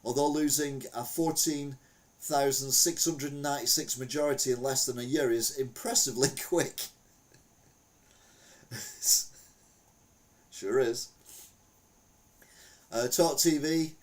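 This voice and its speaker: A British man in the host's chair, reading oddball news stories and cracking wry jokes between them. He rambles, restarts and fills gaps with "uh", at a middling pitch, sitting a little back from the mic. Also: steady tone, measured pace, pauses often, darkish tone, recorded from a distance. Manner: wry, jokey, rambling with many fillers. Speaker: podcast host. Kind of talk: casual news commentary.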